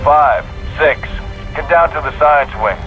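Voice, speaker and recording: An actor playing a brisk military dispatcher passing orders over the radio in a video game. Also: male voice, fairly high pitch, faint music behind, lightly acted. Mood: brisk, matter-of-fact. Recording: muffled band-limited transmission.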